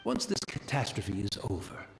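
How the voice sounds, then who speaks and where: Game role: courteous, rambling old man, wistful, expressive melody, roomy sound with music behind. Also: fairly low pitch, normal speed, creaky, full character voice. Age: older. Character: gentle, rambling, blind old man, loyal tea server. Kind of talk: video game voice acting. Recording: roomy voice over background music.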